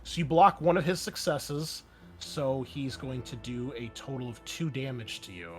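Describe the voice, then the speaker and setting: A man acts as game master for a tabletop roleplaying game. His delivery is casual and descriptive with a wry streak, at a middling pitch. Music plays faintly behind him. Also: moderate pace. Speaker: game master and show host.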